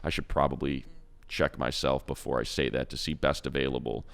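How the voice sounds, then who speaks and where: A man co-hosting a casual football talk show, breaking down draft picks in an animated, rambling way with lots of restarts. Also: a bass voice, brisk, creaky. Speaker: co-host, team radio play-by-play announcer.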